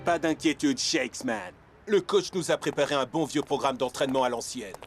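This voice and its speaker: A man's voice: medium pitch, moderate pace, faint music behind, natural voice. Man voicing an easygoing cartoon footballer. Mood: reassuring. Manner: laid-back, reassuring. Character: easygoing, reassuring male footballer teammate. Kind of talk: French cartoon dub acting.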